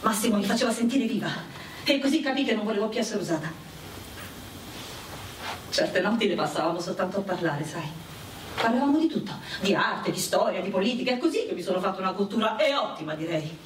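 A woman's voice: medium pitch, lively intonation, fast, lightly acted. Woman acting proud brothel prostitute, brash, worldly, theatrical radio drama delivery, roomy sound, heavy background noise. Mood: confiding, proud. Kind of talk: dramatic radio play dialogue. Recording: roomy, distant mic with loud background noise.

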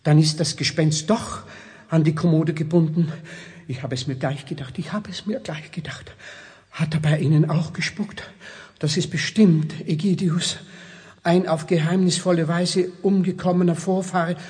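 An actor playing a formal, pompous and credulous manservant to a countess in a children's radio play, excitable and deferential. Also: male voice, fairly high pitch, moderate pace, long reverb tail, close to an everyday voice. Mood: excited, awed. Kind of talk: 1970s German children's radio play acting.

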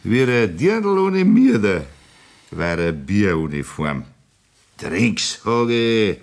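A man's voice: on the low side, sing-song, extremely slow, exaggerated voice. An actor with a Bavarian accent playing a boisterous, boastful rich farmer in a 1960s radio play, coaxing his friend to drink.

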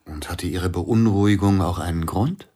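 An actor playing a celebrated pianist, melancholy and weary, speaking softly and often in a whisper in a radio drama. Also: male voice, deep voice, moderate pace. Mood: curious. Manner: soft, mournful, often whispering.

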